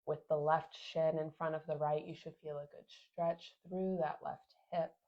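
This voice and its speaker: Gentle, sniffly woman guiding yoga. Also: deep voice, dull audio. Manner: gentle, calm cueing with soft pauses. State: sniffly from a sinus infection. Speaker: yoga instructor. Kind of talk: guided yoga class instruction.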